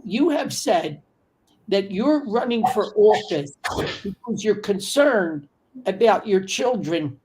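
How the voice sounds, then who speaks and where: A man's voice: sits high, a little mellow, poor audio. An older man in his seventies hosting a casual interview over a video call, often repeating things to confirm them. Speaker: podcast host. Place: home study with bookshelves.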